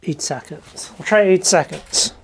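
A woman's voice: on the low side, measured pace, slightly rough. A woman vlogger giving a casual hands-on demonstration, informally thinking aloud as she goes. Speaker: rockhounding vlogger.

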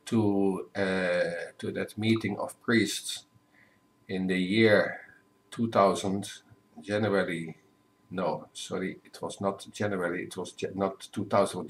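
Low-voiced older man, measured. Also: lively intonation, a bit bright, rough recording. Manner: careful, measured, with self-corrections. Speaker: priest, guest. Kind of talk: relaxed interview answers. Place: home living room. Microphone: webcam at desk.

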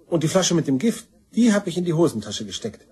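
Sulky murder suspect in an audio crime play, super husky voice, whiny excuses, kinda high. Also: male voice, sing-song, a little fast, a little mellow, heavily acted. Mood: sheepish. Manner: colloquial, defensive, sullen. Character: resentful, self-excusing male murder suspect, bitter brother. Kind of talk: German crime radio play acting.

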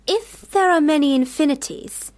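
An actress playing a bright, curious, amused young literary woman in a period radio play. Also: high-pitched, moderate pace, dry recording, close to an everyday voice.

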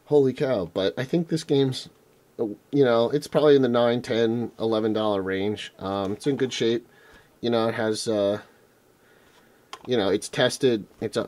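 Hoarse man rambling through a haul. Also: on the low side, darkish tone. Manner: rambling, filler-heavy, enthusiastic. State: losing his voice, throat clearing. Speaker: retro game collector vlogger. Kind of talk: casual unboxing vlog. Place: indoors at a table. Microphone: camera mic off-screen, hands on table.

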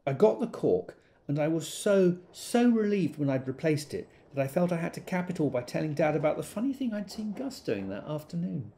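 A man playing a reflective adult recounting an anxious childhood memory in a quiet, measured audio drama monologue. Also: a little high, big pitch swings, vocal fry, a little hiss or hum. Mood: confessional.

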